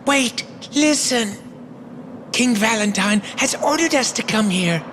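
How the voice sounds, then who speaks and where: Smug villain's henchman, taunting, wheedling, slow swooping delivery, game voice, noisy background. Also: fairly high pitch, lively intonation. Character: sly, smug, bullying villain's henchman sent by the king. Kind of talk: anime-style video game voice acting. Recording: clear background noise and ambience.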